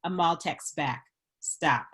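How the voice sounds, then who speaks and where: Lowish-voiced woman narrator. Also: slow, a bit bright, husky. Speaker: stage directions reader. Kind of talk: scripted narration.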